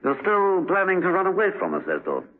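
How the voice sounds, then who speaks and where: An actor playing a suave, brooding gentleman secret agent in a 1970s radio drama, clipped and wry. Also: high voice, huge pitch swings, brisk. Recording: narrow muffled 1970s radio recording.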